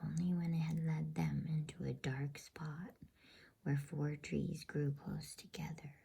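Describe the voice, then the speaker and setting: A woman narrating a storybook for ASMR in a hushed, unhurried, breathy whisper. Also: low-pitched, flat delivery. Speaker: bedtime story reader.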